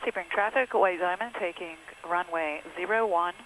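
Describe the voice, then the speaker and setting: A woman's voice: lowish voice, moderate pace, a bit bright. A woman pilot talking to herself and making radio calls over a headset in a small plane cockpit. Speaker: pilot, vlogger. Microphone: aviation headset. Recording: noisy small plane cockpit intercom audio.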